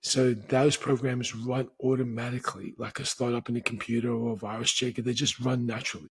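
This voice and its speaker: Very husky man explaining calmly. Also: mid-range voice. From grew up speaking Portuguese. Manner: measured, repetitive explaining with restarts. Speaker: podcast host. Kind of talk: solo explanatory talk.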